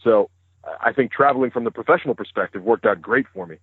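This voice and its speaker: A man's voice: medium pitch, fast, slightly rough, no room sound. A man in his thirties, a guest in an interview, speaking openly and matter-of-factly. His first language is Hebrew. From United States. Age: 30s. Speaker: interview guest, news correspondent. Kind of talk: phone interview conversation. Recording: dry, tinny phone line.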